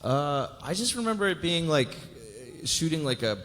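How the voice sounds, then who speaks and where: A man's voice: on the high side, big pitch swings, moderate pace, fairly bright tone. A man chatting casually and jokingly as an actor on a live cast panel. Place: large hall at a live festival event. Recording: echoey hall, noisy live panel recording.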